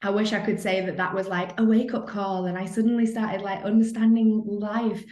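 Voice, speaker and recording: A woman's voice: mid-range voice, flat delivery, moderate pace, darkish tone. A woman, telling her story as an interview guest in a chatty way, full of "like". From Manchester, England. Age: 30s. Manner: chatty, lots of "like", acts out her own thoughts. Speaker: guest, personal trainer and nutritionist. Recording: roomy echo.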